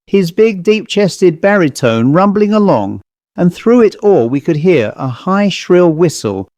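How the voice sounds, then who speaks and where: A man's voice: fairly high pitch, warm tone, dry recording. A man voicing an earnest, shaken male explorer in an audiobook horror story, hesitant and grave as he recounts eerie things.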